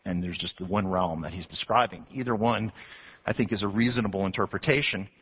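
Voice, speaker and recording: A man pastor from Texas preaching an expository sermon to his congregation, animated and building to emphatic passages. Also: on the low side, fairly quick, husky. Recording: dull lo-fi sound.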